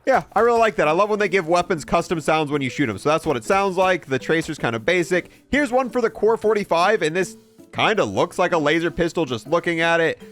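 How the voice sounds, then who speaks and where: Male game reviewer, highish voice, animated intonation, informal and wry. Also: fairly quick, faint music behind. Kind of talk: casual gameplay commentary.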